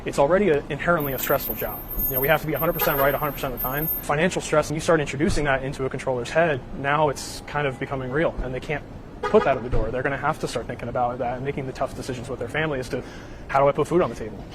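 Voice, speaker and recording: Male interviewee, earnest, extremely quick, noisy urban exterior. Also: medium pitch, fairly even intonation. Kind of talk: spoken interview statement. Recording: noisy outdoor urban recording.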